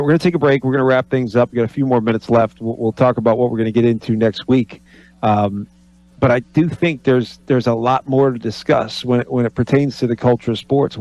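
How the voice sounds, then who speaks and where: A man in his forties co-hosting a sports radio talk show, talking in an animated way and restarting his sentences as he thinks aloud. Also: mid-range voice, dry recording, poor audio. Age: 40s. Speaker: radio co-host, college basketball analyst. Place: radio studio.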